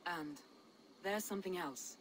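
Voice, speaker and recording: An actress playing a proud, earnest Viking war leader in a video game, speaking formally and with measured dignity. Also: female voice, on the low side, a little hiss or hum, natural voice. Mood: grateful, formal. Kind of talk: dramatic video game cutscene acting.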